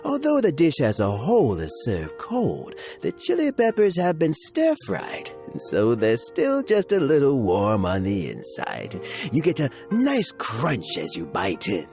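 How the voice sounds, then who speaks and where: A man's voice: medium pitch, unhurried. A man voicing an enthusiastic, appreciative cooking judge in a video game, with music behind him. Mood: impressed. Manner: expressive, appreciative. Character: enthusiastic male cooking contest judge, savoring food. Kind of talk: video game character acting.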